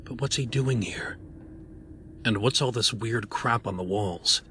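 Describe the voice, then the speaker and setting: Male actor as indignant police commissioner, lowish forceful voice, blunt, exasperated, faint background noise, audio drama. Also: measured pace. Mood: disgusted.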